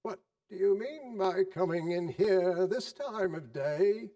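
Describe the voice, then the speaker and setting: A man voicing a mock-stern old boss, scolding and deliberate, in a theatrical storybook reading. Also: high voice, animated, mellow, dry recording, highs cut off, pitched above the performer's own voice. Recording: distant microphone.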